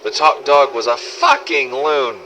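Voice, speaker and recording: An actor playing a foul-mouthed, cynical zombie-apocalypse survivor, narrating a wry audio diary through an old cassette recorder filter. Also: male voice, medium pitch, wildly swooping pitch, measured pace, bright, forceful tone, exaggerated voice. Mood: wry, irritated. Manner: sweary, sardonic, world-weary storytelling. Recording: old cassette tape with faint noise.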